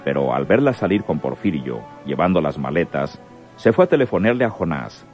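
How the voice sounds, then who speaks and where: A man's voice: a bass voice, very animated, fairly quick, darkish tone, faint music behind. A man acting as the solemn narrator of a radio crime serial. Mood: dramatic, suspenseful. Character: solemn male storyteller of a rural crime serial.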